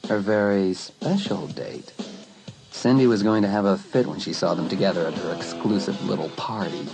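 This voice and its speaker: A man narrating a dramatised teen horror story in an expressive, dramatic storytelling manner. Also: sits low, normal speed, music in the background, rough recording. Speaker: audiobook narrator.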